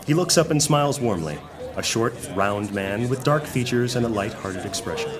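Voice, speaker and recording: A man playing the measured narrator of a fantasy audio drama, telling the story from a script. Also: medium pitch, expressive, a crowd in the background, natural voice.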